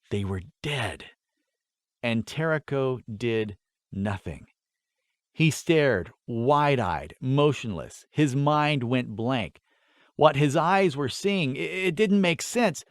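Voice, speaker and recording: A man narrating a fantasy audiobook from a script, with deliberate, dramatic phrasing. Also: medium pitch, no echo, studio quality.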